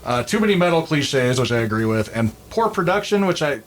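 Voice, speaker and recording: A man talking casually and a little haltingly as he co-hosts a discussion of an album. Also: on the high side, very animated. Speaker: podcast co-host. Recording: clear background noise.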